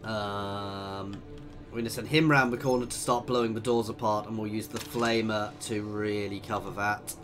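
Husky, tired man commentating a strategy game. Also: lowish voice, steady tone, normal speed. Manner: chatty, thinking aloud with frequent ums. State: hoarse with a cold. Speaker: gaming video host. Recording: voice over game music.

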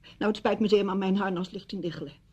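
A woman's voice: mid-range voice, fairly even intonation, quick pace, darkish tone, breathy edge, lightly acted. An actress in a Dutch radio play, playing a wounded, bitter widow who speaks curtly and sarcastically to her friend. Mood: bitter, hurt. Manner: curt, sarcastic. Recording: dull, muffled radio recording.

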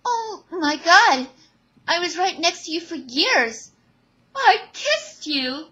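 A woman voicing an excitable, confident teenage girl in a fan audio drama, with gushing, emphatic delivery. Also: very high voice, slow pace, pitched above the performer's own voice, heavily acted. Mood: shocked.

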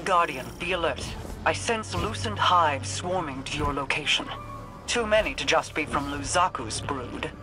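A woman voicing a regal, commanding mystic in a video game, measured, formal and assured. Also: deep voice, lively intonation, over music. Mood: composed.

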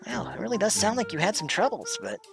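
A woman's voice: medium pitch, big pitch swings, quick pace, vocal fry, music in the background. A woman voicing a shy, polite small demon mercenary in a comic dub, hesitant and earnest, stammering at times. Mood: friendly, sympathetic.

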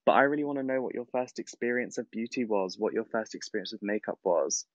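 A man's voice: fairly low pitch, quick pace, dry recording. A young man with a British accent, casually and effusively putting a question to a guest with emphatic phrasing. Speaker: audience guest asking a question. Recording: dull, lo-fi online call audio.

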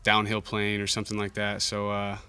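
A young man, a college baseball pitcher, giving modest, understated answers at a post-game press conference. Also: monotone, a little fast, pushed and bright, smooth. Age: young adult. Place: indoor press conference room. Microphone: table microphone.

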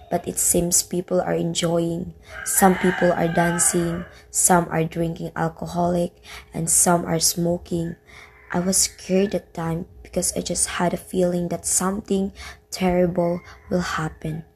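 A woman's voice: lowish voice, a little mellow, a little hiss or hum. A woman playing a troubled, defiant teenage daughter who tells her story in an amateur radio drama.

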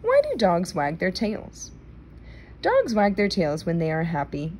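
Woman, lowish voice, very animated intonation, children's read-aloud, careful delivery, noisy home recording. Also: unhurried.

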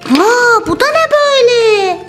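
A woman's voice: very high-pitched, lively intonation, unhurried, fairly bright tone, heavily acted. A woman voicing a playful, greedy toy turtle in a children's toy story, exclamatory and sing-song. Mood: excited. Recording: roomy sound with music underneath.

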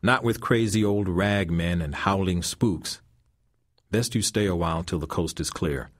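A man's voice: sits low, unhurried. A man voicing a tough, wry old homeless man in a storybook reading, with a folksy drawl. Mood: calm. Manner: folksy drawl, dialect grammar.

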